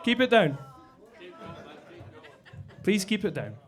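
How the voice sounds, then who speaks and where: A man headlining a live stand-up set, with a halting delivery full of fillers and stumbles. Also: high voice, sing-song, faint music behind, a faint crowd. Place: basement comedy club stage.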